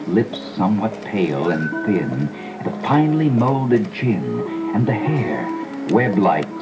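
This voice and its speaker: Loud music plays under an old cassette recording of a gothic audio drama. a brooding gentleman narrator, uneasy and theatrical. His low voice rises and falls widely, with deliberate dramatic pauses. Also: full character voice, poor audio. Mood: pitying awe. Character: gloomy gentleman narrator, uneasy boyhood friend visiting a decaying mansion. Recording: old cassette dub re-recorded through boombox mics.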